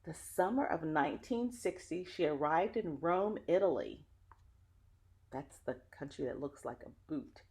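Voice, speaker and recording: A woman's voice: low voice, expressive, measured pace, gravelly, darkish tone. A woman reading a children's story aloud like a teacher, with dramatic phrasing and an engaging way of addressing young listeners.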